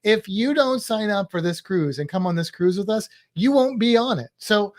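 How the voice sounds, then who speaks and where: Joking guy on a cruise podcast, punny and relaxed, chatting with his partner. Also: high-pitched, normal speed. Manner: jokey, playful with puns. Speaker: podcast co-host. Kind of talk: casual chat.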